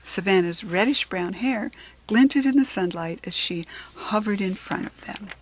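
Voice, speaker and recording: American woman reading a children's story, slightly husky. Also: medium pitch, unhurried, low-quality recording. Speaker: read-aloud children's book narrator. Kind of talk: scripted storybook narration for children.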